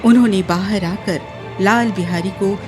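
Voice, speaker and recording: Woman narrating a radio play, slow n expressive, bit of music under her. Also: mid-range voice. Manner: measured, expressive. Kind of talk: scripted dramatic storytelling for radio.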